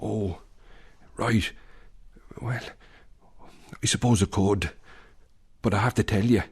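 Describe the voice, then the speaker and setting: An actor playing an intense Irish piper in a radio drama, asking earnest, probing questions in a confiding tone. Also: male voice, on the low side, steady tone, takes it slowly, husky, no echo, clean studio sound, full character voice. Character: Captain Martin, older Irish piper, intense and secretive.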